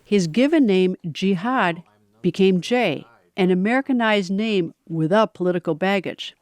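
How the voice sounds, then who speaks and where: A woman's voice: mid-range voice, normal speed, darkish tone, no room sound. A woman reading scripted narration as the narrator of a documentary.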